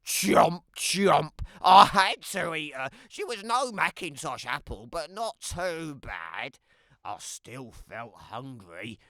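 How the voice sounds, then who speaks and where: A woman voicing a sly, hungry, wry male wolf who tells his own tale in an animated children's read-aloud, with dramatic emphasis and playful asides. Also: sits low, slow, no room sound, clean studio sound, heavily acted. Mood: sly, scheming.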